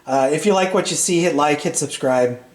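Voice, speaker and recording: A middle-aged man chatting casually and unscripted as a video host, in a halting, rambling way with hesitant phrasing. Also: on the high side. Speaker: vintage audio repair channel host. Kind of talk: casual unscripted product chat. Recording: roomy, echoey sound.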